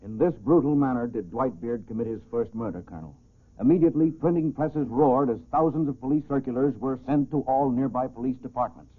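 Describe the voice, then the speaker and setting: An actor playing a grave American police chief, solemnly narrating a case in a 1940s radio crime drama with a husky voice. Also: male voice, medium pitch, brisk, natural voice. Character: grave male small-town police chief recounting a case. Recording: tinny, band-limited old radio recording.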